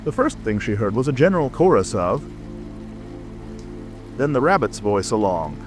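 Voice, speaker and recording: A man's voice: medium pitch, very animated, moderate pace. A man narrating a scripted storybook as an audiobook reader. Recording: music and rain ambience behind. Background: music, rain.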